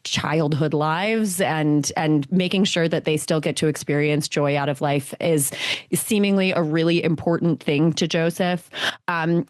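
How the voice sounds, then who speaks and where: A woman's voice: sits low, dry recording, clean studio sound. A woman co-hosting a casual motorsport podcast, speaking in an earnest, enthusiastic way. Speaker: podcast co-host.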